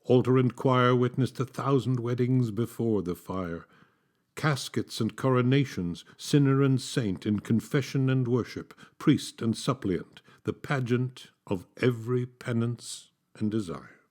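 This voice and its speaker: A man reciting poetry as an actor, in a solemn, measured, dramatic delivery. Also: medium pitch, a little mellow.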